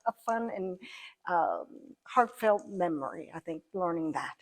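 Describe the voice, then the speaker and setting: A woman giving conversational interview answers as a guest, thoughtful and halting as she pieces her phrases together. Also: lowish voice, expressive. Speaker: interview guest, amateur stage actor.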